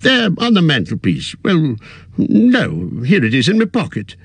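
Fussy old hobbit voiced by a male narrator, high and quavering, husky edge, dry close sound. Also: wildly swooping pitch, measured pace, no room sound, heavily acted. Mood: flustered. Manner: high quavering, petulant and defensive. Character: fussy elderly male hobbit, turning possessive.

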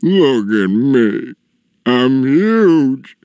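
A man voicing a huge, grimy storybook giant, menacing yet lonely, with slow, drawn-out, ponderous speech. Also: mid-range voice, very animated, warm tone, no echo, voice pushed up, full character voice. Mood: sorrowful, pleading. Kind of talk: storybook character voice.

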